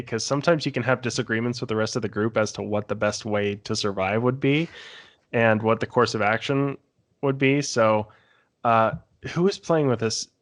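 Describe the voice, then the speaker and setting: A man talking casually and informally, rambling through stories as a podcast panelist and swearing now and then. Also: medium pitch. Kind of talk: casual chat recounting tabletop game sessions.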